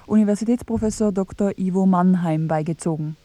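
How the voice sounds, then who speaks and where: Female news reporter played by an actress, mellow tone, dry studio sound, factual scripted delivery. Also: on the low side, brisk, dry recording, close to an everyday voice. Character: matter-of-fact female radio news reporter.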